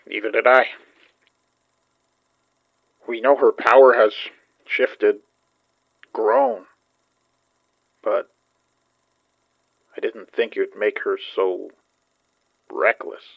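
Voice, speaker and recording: Gravelly, troubled man, swinging pitch. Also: big pitch swings, extremely slow, long pauses, lo-fi sound, exaggerated voice. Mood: weary, concerned. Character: troubled, worried man trying to keep cool. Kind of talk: audio drama acting.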